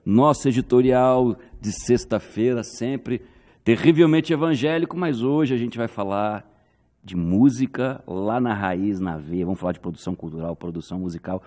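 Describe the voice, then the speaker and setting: A man's voice: lowish voice, moderate pace, dark tone, long reverb tail. A man in his forties hosting a podcast and interviewing a guest, with short, friendly interjections. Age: 40s. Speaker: podcast host.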